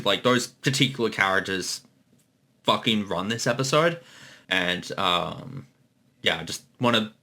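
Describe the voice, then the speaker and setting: Bright-voiced man giving casual creator commentary. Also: deep voice. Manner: informal, sweary.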